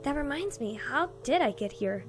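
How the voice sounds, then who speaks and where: Woman playing a cartoon pony princess, high-voiced, very expressive, dramatic, feisty, music underneath. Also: normal speed. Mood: relieved. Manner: emotional, dramatic. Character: young princess pony, weary but feisty. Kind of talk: animated fan audio drama acting.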